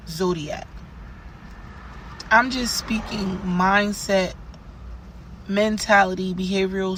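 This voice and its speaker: A young woman, hoarse for days, hosting a podcast and giving rambling, conversational spiritual advice with plenty of fillers. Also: mid-range voice, a little hiss or hum. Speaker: podcast host. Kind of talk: casual spiritual advice monologue.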